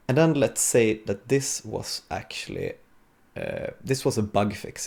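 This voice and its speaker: A man's voice: on the low side, creaky, a little mellow, slight background noise. A man giving an informal technical demo at a conference, thinking aloud with some hesitation as he goes. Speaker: software developer giving a conference talk. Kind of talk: live coding demo, technical presentation.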